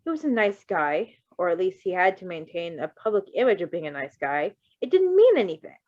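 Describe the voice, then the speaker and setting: Woman reading fanfic out loud, really into it, chatty asides, super dry slightly muffled audio. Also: on the low side, brisk, no room sound. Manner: expressive, emotionally invested. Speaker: fan fiction reader. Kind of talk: story reading with casual asides.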